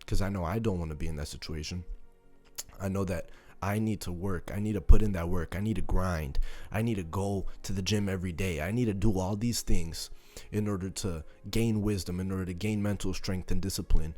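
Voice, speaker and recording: A man's voice: low-pitched, brisk, mellow, faint music behind. A man in his late teens, from Serbia and the US, gives an emphatic motivational speech built on repeated phrases and rhetorical questions. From born in Serbia, moved to the US. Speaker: motivational content creator.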